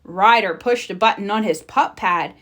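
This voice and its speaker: A woman narrating a children's storybook in an animated read-aloud style. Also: medium pitch, normal speed. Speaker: storybook reader.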